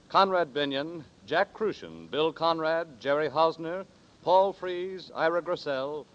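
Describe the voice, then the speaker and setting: A man with a fairly high voice reads formal radio announcements in a measured 1940s style. The sound is that of a dull old broadcast recording. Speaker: radio announcer reading credits.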